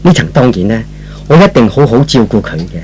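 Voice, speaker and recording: A man's voice: medium pitch, sing-song, a little fast, pitched below the performer's own voice, lightly acted, rough recording. A man playing a devoted, tender young man in love, earnest and sentimental, in an old radio melodrama.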